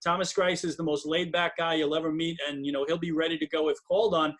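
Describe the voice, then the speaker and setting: A man's voice: on the high side, flat delivery, fast, a bit bright. A man working as a hockey broadcaster, giving emphatic, detailed and fluent sports analysis as an interview guest.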